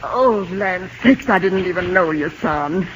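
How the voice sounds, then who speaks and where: A woman's voice: lowish voice, animated, measured pace. An actress playing a fond, easily astonished older country mother in a radio drama, folksy and exclaiming. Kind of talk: 1950s radio drama acting. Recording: muffled noisy old radio broadcast.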